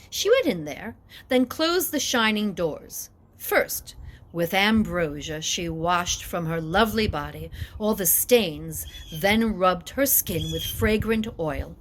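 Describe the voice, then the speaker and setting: A woman reading epic poetry aloud as dramatic narration, with deliberate, expressive phrasing. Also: medium pitch, slight background noise. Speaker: reader of an epic poem.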